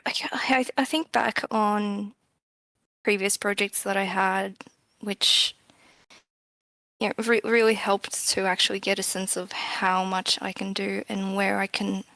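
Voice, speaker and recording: Creaky-voiced woman chatting casually. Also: medium pitch, fairly bright tone, dry recording, rough recording. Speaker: game developer, interview guest. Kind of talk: casual interview chat.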